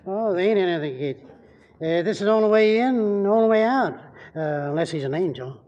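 An actor playing a hesitant, folksy, obliging gatekeeper in a 1940s radio drama. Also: male voice, high-pitched, animated, brisk, darkish tone. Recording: dull, echoey 1940s radio recording.

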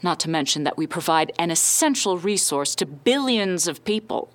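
A woman playing a powerful middle-aged scientist and founder in an audio drama, giving a measured, firm, guarded interview. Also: on the low side, animated, close to an everyday voice. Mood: composed, assertive.

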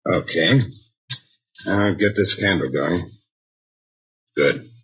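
A man's voice: sits low, slow, narrow, tinny audio. An actor playing a falsely casual, taunting man who needles a rival in an old-time radio drama. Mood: breezy, confident. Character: taunting, menacing man preying on a rival's fear. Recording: thin band-limited old radio recording.

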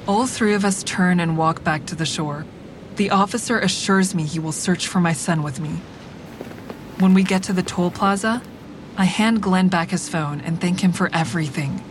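An actress playing a wry, hard-edged woman who narrates her own story in a frank, deliberate dramatic style. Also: lightly acted. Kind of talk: scripted first-person dramatic narration. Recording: clear rumbling traffic noise behind.

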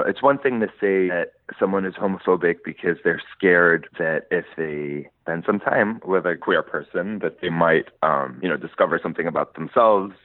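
A man's voice: sits low, dry recording. A man from Lebanon, a musician being interviewed, talking thoughtfully and laughing as he tells stories. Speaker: musician guest. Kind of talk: conversational interview. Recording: dry tinny phone-like line.

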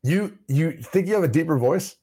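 A man's voice: a little high, expressive, normal speed, warm tone, breathy edge. A young adult man co-hosting a sports podcast, bantering and joking with fillers and tangents. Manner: joking, teasing, rambling with many fillers. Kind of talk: casual banter about football.

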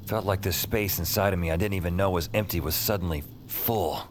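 Low-voiced cocky novice spellcaster , a male actor. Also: a little fast, slight background noise, close to an everyday voice. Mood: restless craving. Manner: wry, conversational. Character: cocky, restless, wisecracking novice spellcaster man. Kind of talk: first-person audio drama narration and acting. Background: music.

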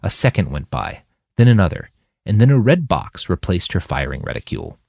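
A man reading scripted fiction narration in an expressive, dramatic storytelling manner. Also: sits low, fairly quick, creaky, dry recording, studio quality. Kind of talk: scripted audiobook-style narration of a dystopian war novel.

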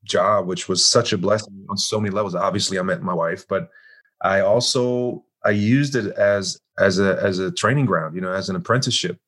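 A man's voice: deep voice, fairly bright tone. A man in his forties from Germany, speaking with a German accent as an actor being interviewed, often repeating words as he restarts. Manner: halting, many restarts. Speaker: actor guest. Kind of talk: casual interview.

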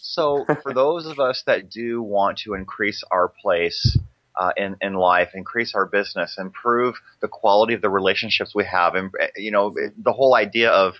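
A man's voice: fairly low pitch, moderate pace, dull audio. A man hosting a radio interview, affirming his guest and asking long questions full of fillers. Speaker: radio show host.

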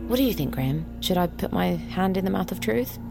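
A woman's voice: on the low side, animated, fairly quick, gravelly, music in the background. A woman acting an earnest, eager tourist in an audio drama. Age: adult. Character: earnest, eager woman sightseeing with her partner.

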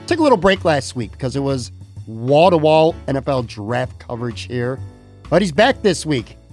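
A man's voice: mid-range voice, very animated, moderate pace, darkish tone, soft background music. A man turning fifty, hosting a podcast in a chatty, rambling, friendly way, calling his guest "man" and "brother". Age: 50s. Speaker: podcast host. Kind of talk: casual chat.

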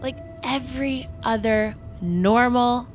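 A woman's voice: fairly high pitch, takes it slowly, faint music behind. A woman playing a shallow, dismissive young former bully in an audio drama, blunt and slangy. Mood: dismissive, irritated. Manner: snide, blunt, casual slangy talk. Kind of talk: audio fiction drama acting.

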